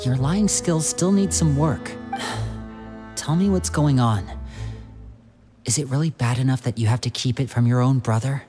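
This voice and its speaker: An actor voicing a protective, sharp young older brother in a video game, questioning in an articulate, emphatic way. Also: mid-range voice, a little slow, pauses often, close to an everyday voice. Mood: concerned, probing. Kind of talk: English game voice acting. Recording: music behind the voice. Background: game background music.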